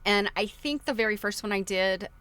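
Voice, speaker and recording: A woman's voice: mid-range voice, normal speed, fairly bright tone. A woman hosting a casual livestream, chatting to viewers in a rambling way as she thinks aloud. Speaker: livestream host.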